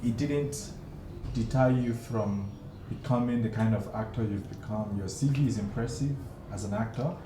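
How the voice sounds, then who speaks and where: A man's voice: lowish voice, normal speed. A man hosting a casual studio interview, pressing his guest with teasing, challenging questions. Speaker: talk show host. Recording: echoey studio with background noise.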